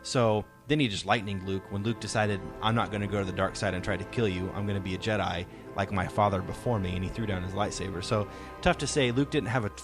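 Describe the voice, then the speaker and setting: A man's voice: on the low side, fairly even intonation, fast. A man hosting a podcast discussion, talking casually and enthusiastically in informal, slangy language. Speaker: podcast host. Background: music playing faintly.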